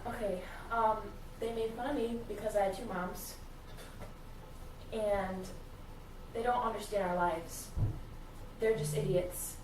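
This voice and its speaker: Distant and echoey, with clear noise, comes a defensive schoolgirl, acted by a woman. She sounds sullen and hurt, giving clipped, reluctant answers in a role-played therapy session. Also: mid-range voice, steady tone, unhurried, pauses often, darkish tone, lightly acted. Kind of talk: improvised therapy role-play.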